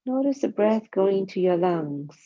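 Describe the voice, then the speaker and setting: A woman guides a body scan meditation, speaking calmly and gently in short, spaced phrases. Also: mid-range voice, a little slow. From Singapore. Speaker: mindfulness meditation teacher. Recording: dull, muffled lo-fi sound.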